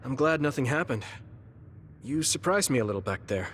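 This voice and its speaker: A man's voice: mid-range voice, expressive, fairly quick, fairly bright tone, a little hiss or hum, natural voice. An actor playing an earnest, good-natured young man in a game cutscene, plain-spoken and reassuring. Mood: sincere. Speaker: game protagonist. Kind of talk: dubbed game cutscene dialogue. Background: game music.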